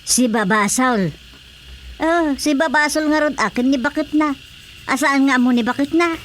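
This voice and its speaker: A woman's voice: sits high, normal speed, fairly bright tone, a little hiss or hum. A woman playing a musing, questioning figure in an Ilocano radio drama.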